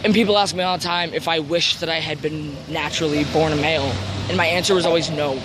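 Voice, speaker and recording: Loud traffic and vehicle noise surrounds a young man with a high-pitched voice for a male. He speaks in an earnest, confessional vlog style, with deliberate phrasing and repeated words for emphasis. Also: fairly even intonation. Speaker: vlogger.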